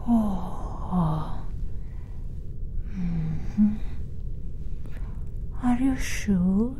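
A woman whispering softly in an ASMR roleplay, soothing and reassuring, with quiet giggles. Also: medium pitch, expressive, very slow, slight background noise.

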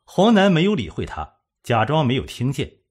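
A man with a husky voice reading a scripted suspense story as an audiobook narrator. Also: mid-range voice, wildly swooping pitch, normal speed.

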